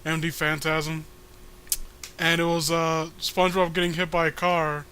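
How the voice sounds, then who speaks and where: Bright, clear-voiced man joking crudely. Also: a little high, flat delivery, measured pace. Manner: profane, joking, rambling. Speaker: co-host of a comic read-along. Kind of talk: casual crude comedic banter.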